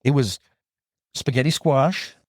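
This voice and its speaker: A man from interior British Columbia guesting on a podcast, telling animated stories and acting out what people said. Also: mid-range voice, slow pace, no room sound, clean studio sound. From interior British Columbia, Canada. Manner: animated storyteller, acts out quoted talk.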